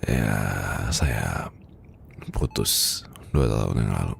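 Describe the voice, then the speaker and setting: A man playing a weary, heartbroken boss confiding from his sickbed in an ASMR roleplay, with an Indonesian accent and a hesitant, breathy, murmuring delivery. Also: rumbling low, animated, a little hiss or hum, heavily acted. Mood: wistful, resigned. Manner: hesitant, murmuring, halting, sighing.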